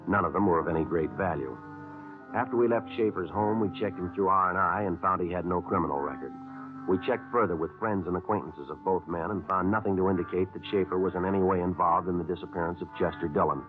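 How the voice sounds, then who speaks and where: A man plays a terse police sergeant in a 1950s radio drama, very low-pitched and rattling through his report at a quick clip. He sounds clipped and flat, with no fuss. The audio is thin and tinny, with clear music underneath. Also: natural voice. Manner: clipped, flat, matter-of-fact. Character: terse male police sergeant narrating a case. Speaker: detective narrator.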